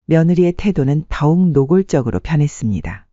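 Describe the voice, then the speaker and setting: A man narrates a family story like an audiobook, measured and scripted. His voice sits a little high with a very mellow, dark tone, recorded dry and close. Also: animated, dry recording, muffled recording.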